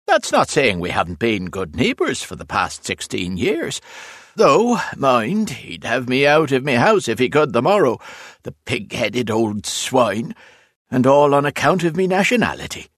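Man acting a grumbling Irish labourer, lilting high. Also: big pitch swings, a little slow, fairly bright tone, no room sound, clean studio sound. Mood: aggrieved, grumbling. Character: big caustic Irish road labourer, garrulous and aggrieved. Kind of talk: audiobook character voice.

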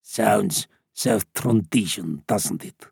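A man voicing an ancient, gruff, secretive male border smuggler in a storybook, hushed, creaky and muttering. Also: on the low side, drawn-out words, no echo, clean studio sound, pitched below the performer's own voice, heavily acted. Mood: smug, dry.

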